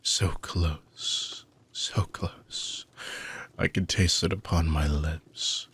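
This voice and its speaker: A man playing an ancient, weary dark mage villain in a fantasy audio roleplay, husky and gravelly, speaking theatrically with long dramatic pauses. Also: rumbling low, fairly even intonation, whispered, exaggerated voice. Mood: hushed, longing. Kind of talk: fantasy audio roleplay monologue addressed to listener.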